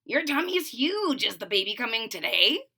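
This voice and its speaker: An eager young boy frog from a storybook, acted out by a woman. The voice is bright and very animated, whining and exclaiming with impatience. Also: on the high side, normal speed, gravelly, breathy edge, exaggerated voice. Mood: amazed.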